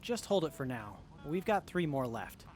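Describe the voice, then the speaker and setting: An earnest young tinkerer from an audio drama acted by a man. The voice sits high and moves around a lot in pitch. The delivery is sincere, geeky and open. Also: very animated, moderate pace, a little mellow. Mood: focused. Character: earnest, excitable young tinkerer in armor, sincere and literal-minded.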